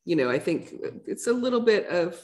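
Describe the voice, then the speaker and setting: A woman's voice: on the low side, big pitch swings, a little fast, darkish tone. A middle-aged woman poet answering audience questions in a reflective, conversational way, thinking aloud as she goes. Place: lecture room with a projection screen.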